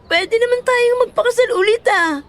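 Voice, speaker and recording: Woman as very high-voiced pouty young Filipina sweetheart. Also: moderate pace. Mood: insistent, pleading. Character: lovestruck, impulsive young woman, pouty and insistent. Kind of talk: Filipino audio drama acting.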